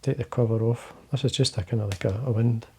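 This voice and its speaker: Quiet, gravelly Scottish man, rambling. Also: medium pitch, steady tone, quick pace, slight background noise. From Scotland. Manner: quiet, rambling, many fillers and restarts. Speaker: fly-fishing video host. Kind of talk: casual talk to camera. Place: indoors, fly-tying desk.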